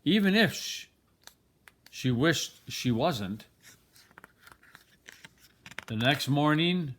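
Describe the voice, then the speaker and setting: An older man reading a children's picture book aloud, expressively and deliberately, with dramatic pauses. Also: a little high, fairly bright tone. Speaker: storybook reader.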